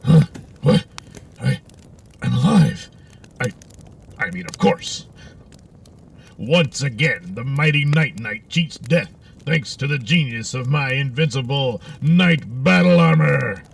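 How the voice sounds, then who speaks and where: A pompous armored superhero as acted by a man, hapless and melodramatic, swinging wildly in pitch over a gravelly creak. He speaks in slow, boastful, exasperated phrases for a comic audio serial. The recording sounds distant, with some noise behind. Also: lively intonation. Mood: dazed, boastful.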